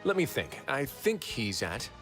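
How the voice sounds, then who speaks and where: An actor playing a shrewd, composed prison duke in an anime video game, sardonic, unhurried and self-assured. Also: male voice, mid-range voice, sing-song, over music. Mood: musing, probing.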